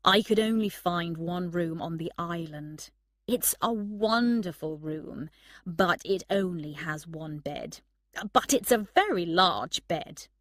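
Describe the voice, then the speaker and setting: A woman's voice: lowish voice, slow pace, no echo, clean studio sound. A woman voicing a sly, charming young man in an audiobook story. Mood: slyly pleased. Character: sly, charming young man, privately smitten with his friend.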